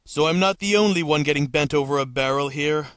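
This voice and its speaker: A man's voice: a little high, a little fast, fairly bright tone, smooth, no echo, studio quality, natural voice. A man playing a nervous, sardonic, put-upon interviewee in a comedic cartoon parody. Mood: exasperated.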